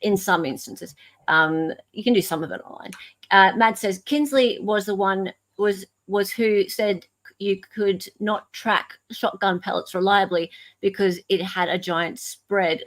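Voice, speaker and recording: A woman with an Australian accent hosting a live show with casual unscripted commentary, thinking aloud in a halting way. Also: mid-range voice, fairly even intonation.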